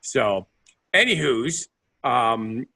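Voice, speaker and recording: Lively, bright-voiced male podcast host. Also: medium pitch, extremely slow, vocal fry. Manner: chatty, playful, meandering. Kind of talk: casual chat.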